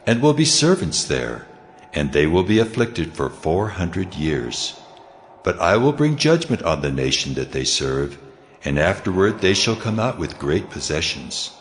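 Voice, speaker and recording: A man's voice: low voice, a little hiss or hum. An actor playing God in a dramatized Bible reading, a solemn and commanding male divine voice making declarations. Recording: long cavernous reverb.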